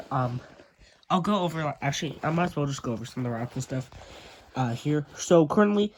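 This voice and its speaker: A man's voice: on the high side, a little mellow. A young man with a congested, stuffy voice, narrating a casual video tour of his model airport, with frequent ums and uhs.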